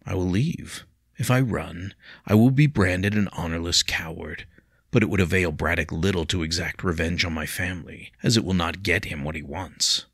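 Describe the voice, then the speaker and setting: A man's voice: sits low, normal speed, fairly bright tone, slightly rough, no echo, studio quality, exaggerated voice. A man playing a brooding, remorseful young nobleman. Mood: bitter. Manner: heated, bitter, mirthless.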